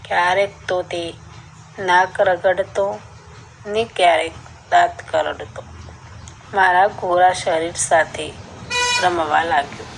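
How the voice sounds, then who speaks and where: Woman telling a story in first person, really slow, kinda low voice, some noise behind her. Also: fairly even intonation, creaky, low-quality recording. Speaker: narrator.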